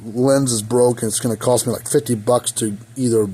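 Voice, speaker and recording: A hoarse man repairing equipment in his workshop, rambling and thinking aloud as he works, recorded on a phone. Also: medium pitch, steady tone, fairly quick, dark tone, a little hiss or hum. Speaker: repair technician. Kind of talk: casual workshop repair talk. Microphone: phone camera.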